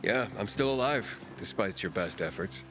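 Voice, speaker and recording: An actor playing a defiant young outsider in a video game, plain-spoken and sarcastic. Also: male voice, lowish voice, expressive, moderate pace, noisy background. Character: wry, defiant young male wandering outsider. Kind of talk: naturalistic video game acting. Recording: background music under the voice.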